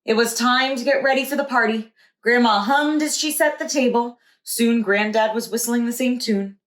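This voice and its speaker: A woman's voice: a little high, normal speed, fairly bright tone. A woman, a preschool teacher, reading a children's storybook aloud. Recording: echoey room.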